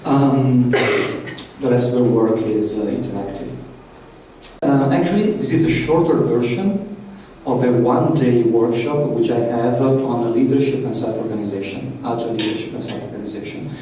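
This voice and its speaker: A man's voice: mid-range voice, normal speed. A man with an Italian accent, leading a workshop in a chatty, loose way and asking the room questions. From Italy. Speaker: workshop trainer. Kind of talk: live workshop talk to an audience. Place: large echoey conference room. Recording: echoey room from far off, faint hiss.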